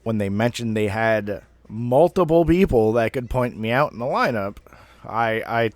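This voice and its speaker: A man in his thirties telling a personal story casually, in a rambling, halting way with some swearing. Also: medium pitch, animated, no echo. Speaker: amateur storyteller.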